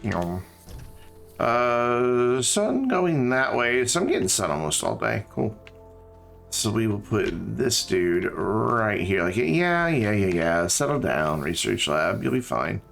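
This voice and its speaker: A man's voice: fairly low pitch, very animated, takes it slowly, gravelly, a bit bright, soft background music. Tired man rambling over his game. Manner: rambling, thinking aloud, many fillers and restarts. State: tired, slightly scratchy throat. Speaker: streamer. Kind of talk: casual gameplay chat.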